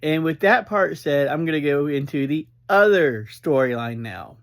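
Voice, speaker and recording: A man giving an informal, unscripted recap as a reviewer, speaking in halting, deliberate phrases with frequent breaks. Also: on the high side, expressive. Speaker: reviewer and host of an episode review series.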